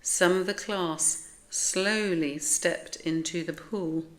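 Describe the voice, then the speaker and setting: British female narrator for a picture book, slightly low voice, very measured pace, expressive. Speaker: storyteller reading aloud. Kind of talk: expressive scripted children's story narration.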